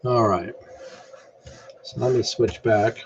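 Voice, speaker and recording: A man's voice: mid-range voice, slow pace, lo-fi sound, slight background noise. A man presenting a casual screen-share tutorial in a laid-back, explanatory way. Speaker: amateur radio tutorial presenter.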